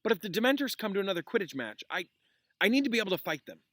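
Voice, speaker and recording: Troubled schoolboy wizard played by a man, storybook hero, urgent and halting, pitched up, dry sound. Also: big pitch swings, fairly bright tone, dry recording, heavily acted. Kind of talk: storybook read-aloud.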